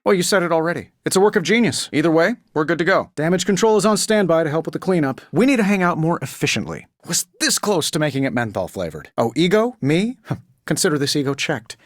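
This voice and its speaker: A man's voice: fairly high pitch, expressive, quick pace, no room sound, clean studio sound. An actor playing a cocky genius armored superhero in a video game, sardonic and glib with his quips. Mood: breezy. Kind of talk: video game voice acting, dramatic banter.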